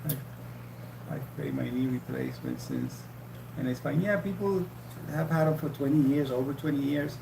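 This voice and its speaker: A man hosting a casual livestream chat, talking in a halting, rambling way with many restarts. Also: mid-range voice, big pitch swings, gravelly, distant mic. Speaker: livestream host. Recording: echoey room with faint hum.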